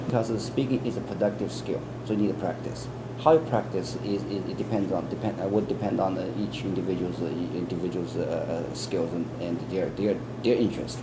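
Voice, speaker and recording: A man's voice: deep voice, a little fast. A man with a Chinese accent giving interview answers as an English test expert, earnest, often repeating words and restarting. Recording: air conditioning hum in background.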